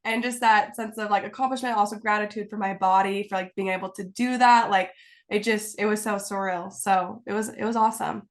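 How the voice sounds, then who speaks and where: A woman's voice: mid-range voice, fairly even intonation, a little fast. A woman guest on a video call, slightly stuffy from a cold, talking in a bubbly, giggly, rambling way full of "like"s. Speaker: guest, content creator. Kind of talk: casual video-call interview. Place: home, indoors.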